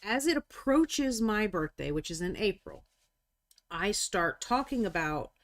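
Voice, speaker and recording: A woman in her forties chatting casually while painting miniatures on a live stream, talkative, joking about herself and quick to apologize. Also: mid-range voice, big pitch swings. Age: 40s.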